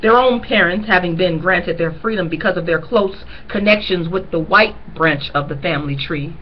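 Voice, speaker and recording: A woman's voice: lowish voice, muffled recording, a little hiss or hum. A woman reading a novel aloud chapter by chapter. She stops now and then to point out important lines to her listeners in an earnest voice. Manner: earnest, pauses to stress key lines to listeners. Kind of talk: reading aloud with personal commentary.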